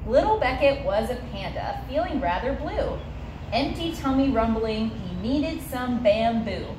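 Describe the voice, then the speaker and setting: Animated, higher-voiced woman reading to children. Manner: animated, measured sing-song storytelling. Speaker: zoo educator and story reader. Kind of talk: children's picture book read-aloud. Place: zoo viewing area beside glass habitat. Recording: roomy echo, light background noise.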